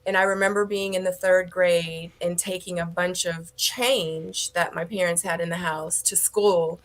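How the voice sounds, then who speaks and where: A woman's voice: lowish voice, fairly even intonation, a little slow. A woman in her thirties, born in South Korea with Korean as her first language, raised in the United States, telling her story in a casual interview with many ums and easy laughs. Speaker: interview guest.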